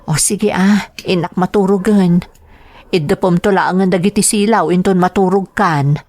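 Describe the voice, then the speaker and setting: Low-voiced Ilocano mother played by an actress, weary and devoted. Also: moderate pace, darkish tone, no echo, natural voice. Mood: weary, resolute. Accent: Ilocano Filipino. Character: weary, devoted widowed mother addressing her child. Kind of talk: Ilocano audio drama acting.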